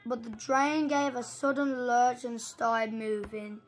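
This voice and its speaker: A young reader reading a storybook aloud haltingly, stumbling over and repeating words. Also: mid-range voice, level tone.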